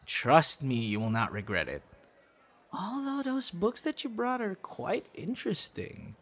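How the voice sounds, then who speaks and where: A man's voice: high voice, big pitch swings, a little slow, a bit bright, exaggerated voice. A young man acting a teasing, friendly boyfriend figure in an intimate, casual audio roleplay. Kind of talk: ASMR boyfriend roleplay, intimate one-sided dialogue.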